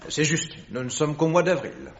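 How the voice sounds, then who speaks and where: A man playing a keen, analytical detective in a French radio drama, reasoning aloud deliberately. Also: a little high, sing-song. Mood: inquiring. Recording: dull lo-fi radio recording.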